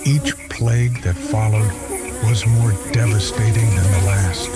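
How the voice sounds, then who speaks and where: A man giving scripted, theatrical first-person narration for an audio drama in a solemn, measured manner. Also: on the low side, fairly even intonation. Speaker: narrator and voice actor. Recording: prominent music behind. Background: music.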